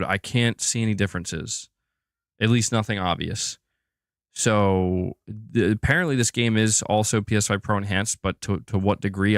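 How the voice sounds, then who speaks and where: Man, low voice, smooth and clear, casual streamer commentary, informal conversational delivery, dry close sound. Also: no echo. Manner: informal, conversational, responding to chat. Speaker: host of a gaming news livestream. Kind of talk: casual gaming news commentary.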